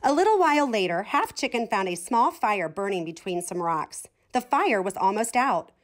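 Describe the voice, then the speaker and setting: A woman reads a children's storybook aloud. Her medium-pitched voice moves up and down in a lively, expressive way. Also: normal speed. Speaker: storybook reader.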